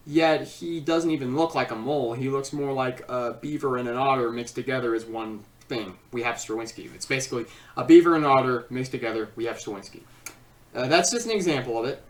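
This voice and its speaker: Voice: mid-range voice, far from the mic. A video review host speaking casually in a rambling way, often repeating and stumbling over phrases.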